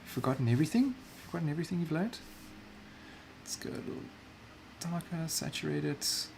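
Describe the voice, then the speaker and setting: A man's voice: on the high side, expressive, a little fast, creaky, a little mellow, slight background noise. A South African man chatting casually while livestreaming a digital painting, muttering self-deprecating remarks to himself as he works.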